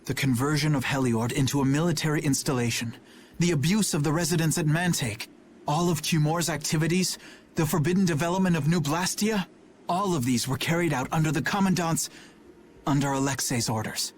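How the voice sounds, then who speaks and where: An actor playing an earnest, dutiful young knight captain in a video game, speaking formally and with solemn restraint. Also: male voice, fairly high pitch, steady tone, fairly quick, slight background noise, close to an everyday voice. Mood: solemn confession. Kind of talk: English video game voice acting.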